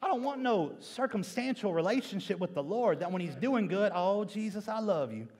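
Young male preacher, high-pitched, emphatic and repetitive delivery, reverberant auditorium. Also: expressive, normal speed, a little mellow. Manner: emphatic, repeats key phrases for effect. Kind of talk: church sermon to a congregation. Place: large church stage indoors. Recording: reverberant church auditorium sound.

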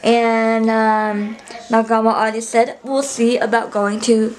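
A young woman chats to her camera from a small bedroom, rambling along with plenty of ums and restarts. Her voice sits a little high, and the handheld camera stays close to her face. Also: flat delivery, measured pace, slight background noise, rough recording. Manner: rambling, chatty, many fillers and restarts. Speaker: vlogger. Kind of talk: casual vlog chat to camera.

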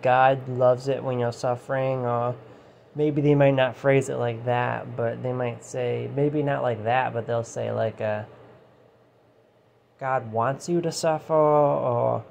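A man with a mellow, darker voice rambles through a casual monologue in his room, his voice starting to go hoarse. He swears freely and keeps checking in with "you know". His phone rests nearby, with a faint heater hum behind him. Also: mid-range voice. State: voice going hoarse. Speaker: channel host. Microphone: phone set down nearby.

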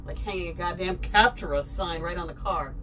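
An actress playing a tough, bitter young ex-soldier in an audio drama, speaking in a blunt, sardonic way. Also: female voice, lowish voice, fairly quick, noisy background, lightly acted. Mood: grimly stubborn. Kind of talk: audio drama acting, naturalistic dialogue.

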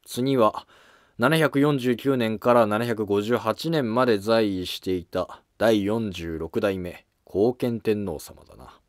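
A man playing a driven, matter-of-fact court scholar in a historical drama, speaking in measured lines. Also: lowish voice, no echo, clean studio sound. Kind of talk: scripted historical drama dialogue.

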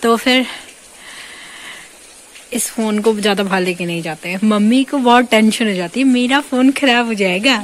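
Young woman, casual phone vlog outdoors, slightly high voice, faint insects behind. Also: moderate pace, pauses often, slight background noise. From India. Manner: chatty, narrates what she is doing to the camera. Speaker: vlogger. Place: outdoors at home and in a field. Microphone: phone camera.

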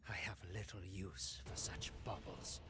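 An actor playing a sinister, scornful alien villain in a video game, with a hissed, sneering delivery. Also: male voice, low voice, fairly even intonation, unhurried. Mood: scornful gloating. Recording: loud music over the voice.

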